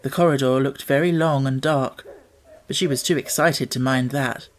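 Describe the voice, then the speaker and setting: A man reading an audiobook as narrator, telling the story in a measured, expressive way. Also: mid-range voice.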